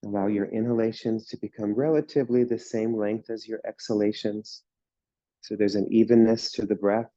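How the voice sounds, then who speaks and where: Low mellow guy leading yoga breathing, slow and soothing, kinda dull dry recording. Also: no room sound. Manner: slow, calm, soothing instructions. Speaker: yoga teacher. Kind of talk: guided breathing and relaxation.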